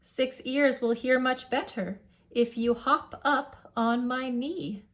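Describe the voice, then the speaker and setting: A woman's voice: sits high, slow, a bit bright. A woman voicing a huge, jovial male giant who coaxes in rhyme for a children's storybook. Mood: jovial, coaxing. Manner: coaxing, rhyming speech. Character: huge many-headed male giant, jovial, coaxing, music-loving.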